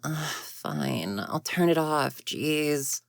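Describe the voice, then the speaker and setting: A woman's voice: low voice, expressive, very slow, vocal fry, dry recording, clean studio sound, exaggerated voice. A woman playing an exasperated ghost girl in an audio roleplay, huffy and indignant, often muttering to herself. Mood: grumbling, frustrated. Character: grumpy, exasperated tsundere ghost girl haunting a house.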